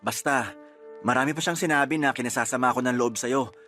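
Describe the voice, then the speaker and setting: A man's voice: medium pitch, quick pace, bright, forceful tone, faint music behind, lightly acted. A man playing a reproachful, protective boyfriend in a Filipino radio drama, earnest and reproving, with a Filipino accent. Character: reproachful boyfriend, protective of his younger sister.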